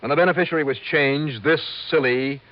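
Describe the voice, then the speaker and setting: An actor playing a sharp, impatient investigator in an old radio detective drama, with a wry, clipped delivery. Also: male voice, on the high side, brisk, natural voice. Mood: insistent, accusing. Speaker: lead detective and narrator. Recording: dull old radio recording, music behind.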